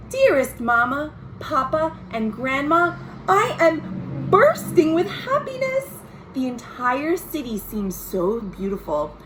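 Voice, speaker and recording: An American woman voicing an earnest, hopeful young girl's letters home from a storybook, with drawn-out, sing-song emphasis. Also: high-pitched, a bit bright, a little hiss or hum, heavily acted, rough recording. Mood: joyful. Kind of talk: expressive storybook letter reading.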